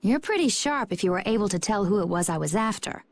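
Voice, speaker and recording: A woman's voice: medium pitch, lively intonation, fast talker, lightly acted. A woman voicing a cool, enigmatic young warrior woman in a video game, composed and understated. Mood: calm, guarded.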